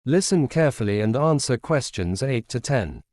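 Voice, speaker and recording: A man reading scripted instructions as a test announcer, formal and measured. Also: medium pitch, expressive, a little mellow, no echo, studio quality.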